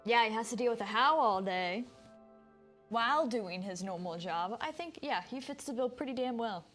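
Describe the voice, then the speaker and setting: A woman with a fairly high voice streams a video game. She comments casually on the story and reads lines of it out loud. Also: expressive, normal speed, soft background music. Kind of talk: casual game commentary and reading game text aloud.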